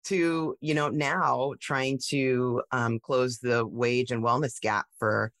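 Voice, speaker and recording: A woman tech founder telling her story in a casual interview, animated, with many fillers and restarts. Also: extremely low pitch, slow pace, no room sound, clean studio sound. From United States.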